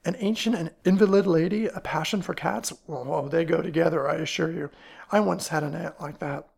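A woman voicing a whimsical, urbane gentleman detective in a storybook reading, with wry, mannered phrasing. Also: deep voice, a little fast, creaky, husky, exaggerated voice. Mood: weary, dismissive.

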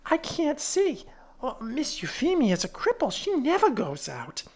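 A woman's voice: high-pitched, wildly swooping pitch, a little slow, voice pushed up, heavily acted. A woman voicing a stout, suspicious, fretful working-class caretaker's wife with colloquial, uneducated speech, in a storybook reading. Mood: puzzled, gossipy. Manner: stolid, colloquial uneducated speech, stammers when scared.

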